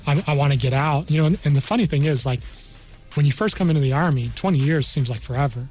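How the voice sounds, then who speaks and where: Fast-talking American man explaining informally. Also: fairly high pitch, darkish tone, faint music behind. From New Mexico, United States. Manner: conversational, explains with hypothetical examples. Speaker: military career counselor, studio guest.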